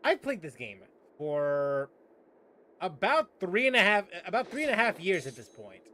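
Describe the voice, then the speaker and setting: A man's voice: high-pitched, big pitch swings, normal speed, bright, forceful tone. A man hosting a let's-play, commenting on the game in a sarcastic, incredulous way. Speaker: gaming channel host.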